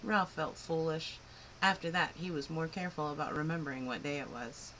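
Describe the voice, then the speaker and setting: A woman reading a picture book aloud to children in an expressive, clearly phrased storytelling style. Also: low-pitched, brisk, background noise. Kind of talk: animated children's story narration.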